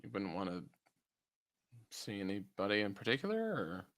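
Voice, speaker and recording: A young man co-hosts a casual podcast, teasing and cracking jokes. His bright, sharp tone swings widely up and down as he talks, giving a jumpy, animated delivery. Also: on the low side, normal speed. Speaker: podcast co-host. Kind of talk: casual chat.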